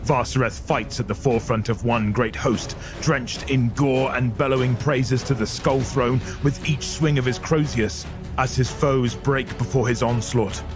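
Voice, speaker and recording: A man's voice: mid-range voice, fairly even intonation, a little slow, over music. A man giving dramatic scripted narration for an audio drama, grave, portentous and theatrical.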